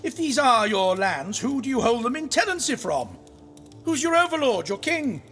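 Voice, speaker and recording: High, swooping, flamboyant adventurer. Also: male voice, very animated, normal speed, fairly bright tone, soft background music, full character voice. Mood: probing, impudent. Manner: theatrical, impudent questioning. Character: flamboyant, defiant time-travelling adventurer in jester-like clothing. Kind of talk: audio drama acting.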